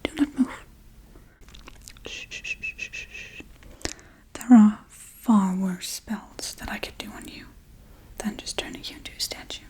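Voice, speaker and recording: A woman voicing a playful, forgetful, menacing witch in a hushed, coaxing, whispery ASMR role-play. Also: mid-range voice, wildly swooping pitch, measured pace, pauses often. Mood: hushing, coaxing. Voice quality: breathy, whispery.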